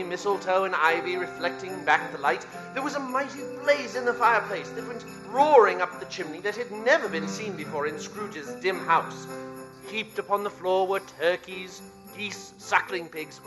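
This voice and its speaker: A male narrator of a radio play, expressive and theatrical. His high, bright voice tells the story deliberately, with music behind it. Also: rough recording.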